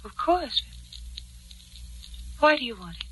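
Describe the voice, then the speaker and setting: Bright-voiced coy young nurse. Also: female voice, sits high, sing-song, slow pace, slight background noise, exaggerated voice, low-quality recording. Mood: restrained. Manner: composed, polite, coy. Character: poised, coyly mysterious young private nurse. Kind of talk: 1940s radio drama acting. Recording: vintage 1940s radio recording, music bed.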